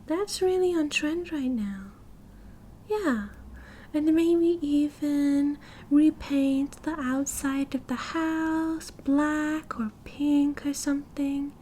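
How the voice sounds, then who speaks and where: A woman's voice: sits high, steady tone, warm tone, a little hiss or hum. A British woman playing a cheerful, oblivious and possessive yandere girlfriend in an ASMR roleplay, with a breezy, giggly, dramatic manner. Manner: giggly, breezy, dramatic, chatty with drawn-out casual delivery.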